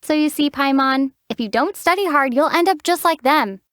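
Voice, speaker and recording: An actress voicing a calm, wry young female traveler hero in a video game, giving dry teasing explanations and sharp battle shouts. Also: high-pitched, moderate pace, no room sound. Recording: dry close studio voice.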